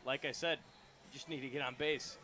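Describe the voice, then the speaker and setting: A young man giving relaxed, chatty radio color commentary on a baseball game from a ballpark booth. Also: medium pitch, normal speed. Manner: casual, chatty, many fillers and restarts. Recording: crowd noise from the ballpark.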